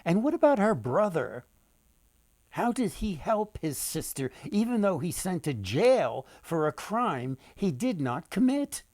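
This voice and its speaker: An older man tells stories to children as their author. He speaks slowly and deliberately, with theatrical pauses, in a dry, close recording. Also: high voice, sing-song, darkish tone, no echo. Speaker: author and storyteller. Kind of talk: storytelling read-aloud for children.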